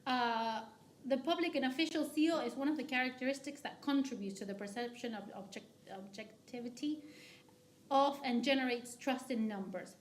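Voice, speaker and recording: A woman from Argentina giving academic commentary as a discussant, halting often with restarts and fillers. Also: on the high side, reverberant, slight background noise. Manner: hesitant, many restarts and fillers. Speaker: discussant at a book talk.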